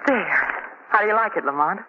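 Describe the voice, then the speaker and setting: An actress playing an eager, insistent, excitable young lady in a 1940s radio drama. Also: medium pitch, normal speed, natural voice. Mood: pleading, hopeful. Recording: tinny, faintly noisy 1940 radio recording.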